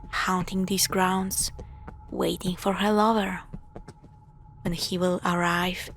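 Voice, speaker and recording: Slow-talking woman acting a teasing young girlfriend. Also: on the low side, fairly bright tone, slight background noise. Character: teasing, affectionate young girlfriend exploring a spooky place. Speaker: audio roleplay voice actor. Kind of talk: intimate scripted audio roleplay.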